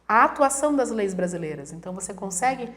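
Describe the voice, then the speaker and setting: A woman from Brazil speaks as a guest professor in a relaxed interview. Her voice sits in a middle pitch. She explains step by step and slips into little quoted voices for her examples. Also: fast talker. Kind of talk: conversational explaining.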